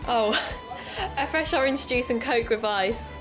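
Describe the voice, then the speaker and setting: A woman's voice: on the high side, measured pace, over music, close to an everyday voice, rough recording. A woman playing a keen young amateur podcaster in an audio drama, chatty and explanatory in manner. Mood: friendly, casual. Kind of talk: naturalistic audio drama dialogue and narration.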